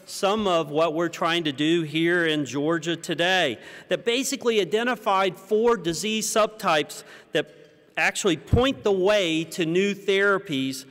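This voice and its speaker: An American man in his fifties giving a prepared conference keynote at a podium, with measured, rhetorical delivery and deliberate pauses. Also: high voice, a bit bright. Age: 50s. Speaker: keynote speaker. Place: large conference hall stage, indoors. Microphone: podium microphone. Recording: reverberant hall sound.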